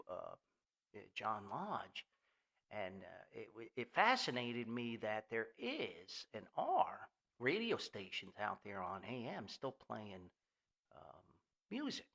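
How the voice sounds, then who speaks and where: An older American man hosting a podcast in a rambling, nostalgic monologue, folksy, halting and enthusiastic. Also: fairly low pitch, creaky, fairly bright tone. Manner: folksy, halting, enthusiastic, refers to himself by name. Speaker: podcast host.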